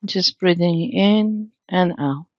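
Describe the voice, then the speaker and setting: Casting: female meditation guide, calm and unhurried, lowish pitch, long pauses, dry and slightly dull lo-fi recording. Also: no room sound. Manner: calm, soothing, long pauses between phrases.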